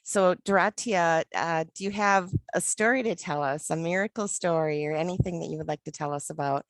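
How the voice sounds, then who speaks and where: Low calm woman hosting. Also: a little fast, no room sound. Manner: soft, calm guiding. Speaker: host of a group call. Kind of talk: guided meditation and hosting. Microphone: video call.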